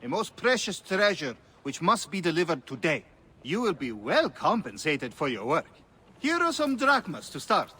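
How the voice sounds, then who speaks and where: Wildly animated male fussy merchant, sharp bright tone, pompous, effusive, theatrical game acting. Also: on the high side, normal speed, husky, heavily acted. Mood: eager, urgent. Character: fussy, excitable, self-important city merchant.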